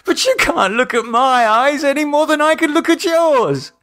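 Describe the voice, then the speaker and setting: A man voicing a terrified, raving man blinded in a dark cellar for a horror audiobook, frantic, sweary and stammering. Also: very high-pitched, very animated, normal speed, voice pushed up, exaggerated voice. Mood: hysterical, furious. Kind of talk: audiobook character voice.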